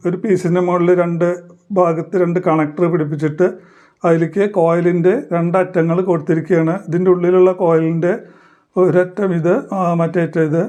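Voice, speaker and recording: A man explaining a hands-on demonstration in halting, short phrases, like a tutorial presenter. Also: high voice, dark tone.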